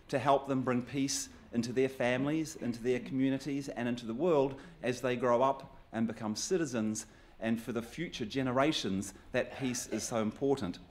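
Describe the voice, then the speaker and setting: A man's voice: mid-range voice, moderate pace, darkish tone. A man from New Zealand with a New Zealand accent gives a public talk in a conversational, good-humoured way, with light self-deprecating asides. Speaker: guest speaker.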